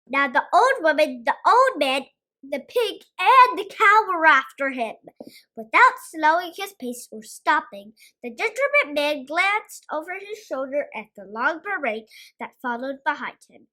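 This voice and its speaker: High, animated woman storyteller. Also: unhurried. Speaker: children's story reader. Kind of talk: expressive scripted read-aloud narration.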